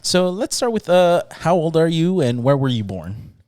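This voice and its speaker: A man's voice: a little high, big pitch swings, moderate pace, a little mellow, no echo, clean studio sound. Giggly man hosting a podcast. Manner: giggly, laughs often, asks questions. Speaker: podcast host. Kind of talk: casual podcast chat.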